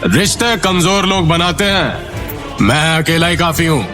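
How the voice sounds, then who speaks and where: A man's voice: on the high side, lively intonation, a little slow, over music. A man playing a proud, defiant young warrior with dramatic, declamatory delivery in an audio drama. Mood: defiant, menacing. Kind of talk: Hindi audio drama acting.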